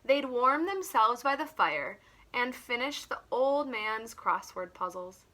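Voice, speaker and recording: A woman reading a story aloud to young children, expressive, with simple explanatory asides. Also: fairly high pitch, slow. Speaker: children's teacher and story reader.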